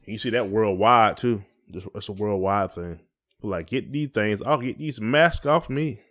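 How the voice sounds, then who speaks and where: A man's voice: fairly low pitch, moderate pace, fairly bright tone. A man co-hosting a podcast in casual conversation, with a folksy, colloquial way of talking. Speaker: podcast co-host.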